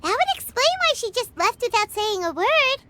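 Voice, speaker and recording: Tiny game fairy, extremely high childlike squeak, animated intonation, chirpy, curious, chatty. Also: female voice, normal speed, noisy background. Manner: speaks of herself in the third person, perky. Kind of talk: video game character acting. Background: music.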